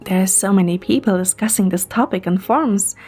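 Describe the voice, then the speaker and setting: A woman's voice: medium pitch, fairly quick, breathy edge, faint music behind, lightly acted. A woman acting a playful, chatty, curious young woman who teases her friend, in an amateur audio drama. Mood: excited, curious. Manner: teasing, animated, expressive.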